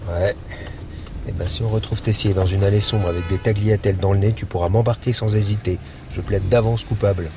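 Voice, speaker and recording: An actor playing a sardonic, pragmatic police investigator with a dry, matter-of-fact delivery in a naturalistic audio drama. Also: male voice, fairly low pitch, fairly even intonation, fairly quick, over music, natural voice. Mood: wry. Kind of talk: naturalistic audio fiction dialogue.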